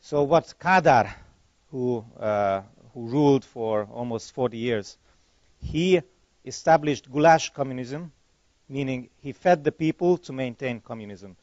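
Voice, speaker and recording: A man's voice: medium pitch, unhurried, darkish tone, no room sound. A middle-aged man, a native Hungarian speaker with a Hungarian accent, answering audience questions at a conference in a chatty, digressive way that corrects itself as he goes. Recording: dull lo-fi conference recording.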